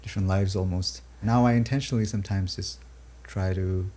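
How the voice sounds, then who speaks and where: A man from Pakistan and the UAE, native Urdu speaker, talking thoughtfully about his music in a halting, filler-filled way. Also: low-pitched, steady tone, warm tone. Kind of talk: reflective interview talk.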